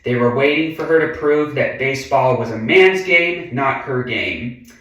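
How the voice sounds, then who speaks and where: A man reading a children's book aloud in a deliberate way, adding casual, chatty asides and murmured mm-hmms. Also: mid-range voice, animated, a bit bright, lo-fi sound. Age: adult. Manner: slow, deliberate delivery with frequent murmured mm-hmms. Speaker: reader of a children's picture book. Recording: very echoey room, far from the mic.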